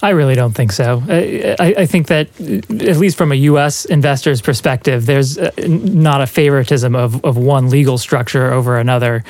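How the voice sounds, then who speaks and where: A man on an investor panel, answering in a hesitant, measured way. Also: medium pitch, darkish tone, dry recording. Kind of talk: panel discussion.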